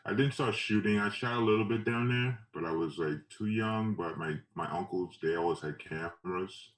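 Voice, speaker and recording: Low-voiced man reminiscing in an echoey room. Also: moderate pace. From Trinidad and Tobago, later Brooklyn. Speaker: photographer being interviewed. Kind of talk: casual interview, reminiscing. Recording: roomy echo, distant mic.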